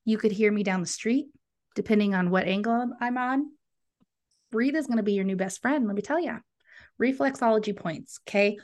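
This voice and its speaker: A woman's voice: mid-range voice, moderate pace, a little mellow. A woman co-hosting a podcast, chatting enthusiastically and speaking straight to her listeners. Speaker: podcast co-host. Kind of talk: casual podcast chat.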